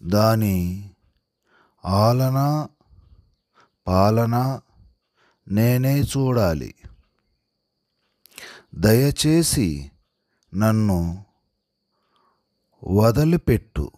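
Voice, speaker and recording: A gentle storybook mother cow pleads in a humble, imploring way, read by a man. The words come very slowly in small phrases, with an expressive lilt. The recording is dry and close. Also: on the low side, no room sound. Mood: pleading. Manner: pitiful, imploring, slow.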